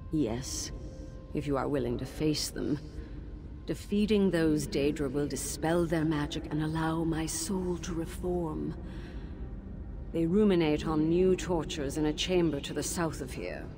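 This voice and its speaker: The lingering shade of an elven sorceress in a fantasy game, speaking in a lower, measured and regal voice. Her grief comes through a formal, stately delivery, and a stern, sharp edge shows when she scolds. Orchestral game music swells beneath her. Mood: solemn, grateful. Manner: solemn, measured and regal; shrill scolding in memories. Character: elven mage teacher's shade, sorrowful and stern. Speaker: video game character. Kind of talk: game cutscene voice acting.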